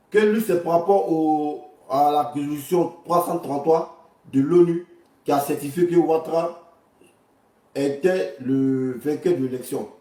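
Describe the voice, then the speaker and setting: A man's voice: on the high side, dark tone, distant mic. Echoey off-mic man. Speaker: online commentator reacting to political clips. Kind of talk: casual improvised political commentary.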